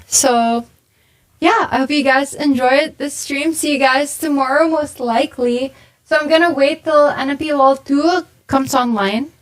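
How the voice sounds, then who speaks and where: A woman streamer reading viewer confessions aloud and reacting in casual chat. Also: high-pitched. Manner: exasperated, quick reading aloud.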